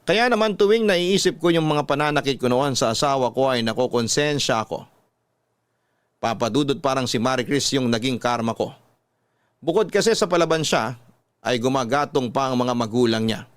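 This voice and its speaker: A man's voice: mid-range voice, moderate pace, smooth, dry recording, lightly acted. Man acting a regretful Filipino father, dry close sound. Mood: regretful, confiding. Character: regretful working-class husband and father confiding his troubles. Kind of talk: dramatized first-person radio storytelling.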